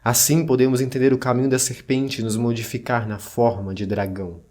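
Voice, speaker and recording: A man playing a solemn chronicler, narrating ancient legends in a measured, scripted way for an audio drama. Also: mid-range voice, flat delivery, a little mellow, close to an everyday voice. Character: solemn male chronicler reading ancient myths.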